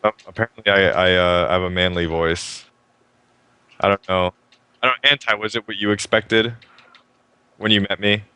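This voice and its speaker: A young man hosting a live stream show, talking fast and upbeat about a fighting game and often restarting his sentences. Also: sits low, steady tone, sharp tone, smooth, no room sound. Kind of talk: casual game commentary.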